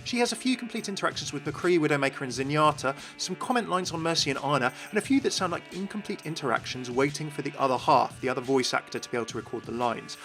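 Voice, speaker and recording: A man's voice: fairly high pitch, animated, quick pace, few pauses, a bit bright, faint music behind. A man hosting a scripted game lore explainer, addressing viewers directly and with enthusiasm. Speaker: video host, game lore commentator.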